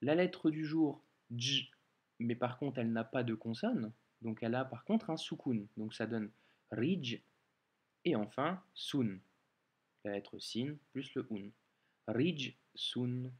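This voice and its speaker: A man whose first language is French teaches reading Arabic in a patient online lesson. He explains step by step, stopping often with small hesitations. His voice sits at an ordinary middle pitch. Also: animated, husky. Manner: patient, explains slowly, many hesitations and "euh".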